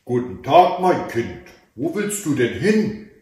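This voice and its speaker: A stern yet compassionate old monk from a fairy tale, read by a male storyteller gives admonishing counsel. He speaks slowly and deliberately in a very echoey room. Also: mid-range voice, big pitch swings, mellow. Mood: kindly. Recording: echoey room, mic at a distance.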